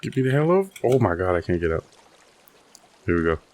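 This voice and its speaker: A man giving casual live gameplay commentary, playful and full of excitable exclamations to his teammates. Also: deep voice, quick pace, a little hiss or hum. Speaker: gaming video host.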